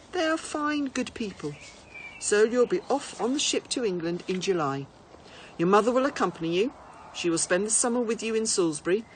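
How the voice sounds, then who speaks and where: A woman's voice: mid-range voice, slight background noise, pitched above the performer's own voice, lightly acted. A woman voicing a stern but reasoning father in a storybook, lecturing his son in a firm, formal way.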